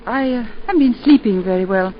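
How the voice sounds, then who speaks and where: A woman's voice: on the high side, expressive, fairly quick, close to an everyday voice. An actress playing a gracious middle-class wife and mother. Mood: gentle. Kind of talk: 1940s radio acting. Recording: muffled 1940s radio broadcast with hiss.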